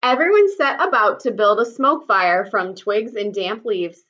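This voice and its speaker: A woman's voice: medium pitch, measured pace, fairly bright tone. A woman reading a children's story aloud expressively, like a teacher, in slightly dull audio.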